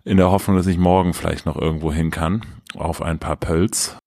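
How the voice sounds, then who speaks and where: A man in his thirties with a cold, co-hosting a podcast in a relaxed, rambling way. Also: low-pitched, a little fast, gravelly, no room sound, clean studio sound. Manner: relaxed, rambling with many fillers. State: has a cold. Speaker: podcast co-host. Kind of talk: casual podcast chat.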